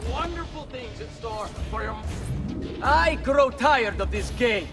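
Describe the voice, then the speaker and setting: A man's voice: extremely high pitch, expressive, measured pace, distant mic, over music. An actor playing a smug, cruel young Italian nobleman, a video game villain with an Italian accent and a sneering, theatrical delivery. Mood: gloating. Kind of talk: video game cutscene acting.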